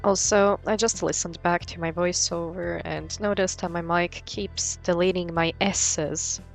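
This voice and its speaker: Slow-talking young woman vlogger. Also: lowish voice, fairly even intonation, vocal fry, soft background music. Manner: informal, swearing. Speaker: vlogger, artist. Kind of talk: casual vlog narration.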